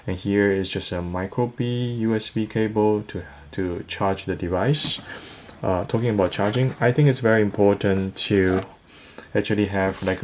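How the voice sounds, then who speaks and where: A man giving a casual unboxing and product review, talking in a halting, think-aloud way. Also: low voice, slight background noise.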